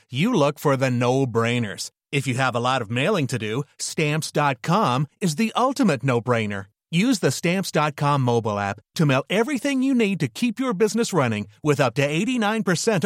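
A man reads a scripted ad with an upbeat, emphatic pitch, his voice swinging widely. The sound is dry and close, with no room. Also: dry recording. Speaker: ad announcer. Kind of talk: scripted ad read.

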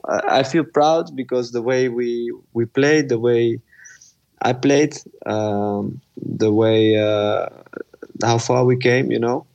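A Dutch-accented man speaks in a low, level voice with little melody. He is a little under the weather and pauses often with ums as he answers frankly. The sound is close and dry. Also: creaky, darkish tone, no echo, rough recording. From Netherlands. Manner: hesitant, many ums and restarts, candid. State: slightly ill with a fever. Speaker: professional footballer guest. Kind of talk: casual podcast interview.

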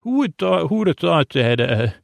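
A man hosting a bedtime podcast in a rambling, improvised monologue, hesitant and halting with false starts, musing whimsically as he goes. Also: fairly high pitch, sing-song, creaky, no echo, studio quality. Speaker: podcast host.

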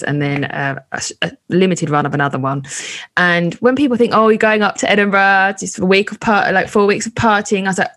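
A woman's voice: mid-range voice, fairly bright tone. A woman, a comedy performer, chatting and asking questions in a conversational interview with self-mocking asides.

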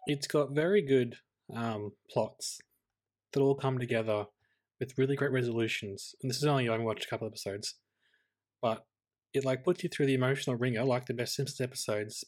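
A man's voice: mid-range voice, a little fast. Easygoing man chatting as co-host. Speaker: podcast co-host. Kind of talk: casual film podcast chat.

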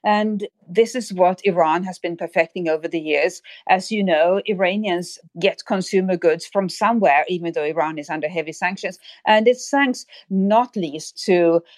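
Lower-pitched woman giving expert analysis. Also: moderate pace, few pauses, no echo. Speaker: expert guest. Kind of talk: analytical podcast discussion.

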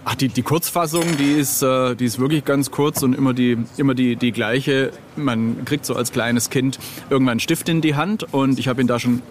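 A man's voice: medium pitch, steady tone, moderate pace, slight background noise. A middle-aged man chatting casually as an interview guest, telling anecdotes in a jokey, self-deprecating way.

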